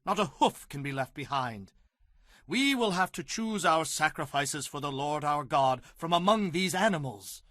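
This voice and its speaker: An actor playing a resolute, righteous prophet in a dramatized Bible reading, speaking with solemn, defiant declamation. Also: male voice, on the high side, expressive, normal speed, a bit bright, no room sound, studio quality. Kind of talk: dramatized Bible audio acting.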